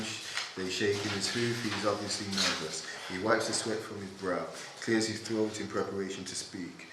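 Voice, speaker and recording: A man reading stage directions as narrator in scripted narration at a table read. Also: on the low side. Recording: very echoey room, distant mic, noisy.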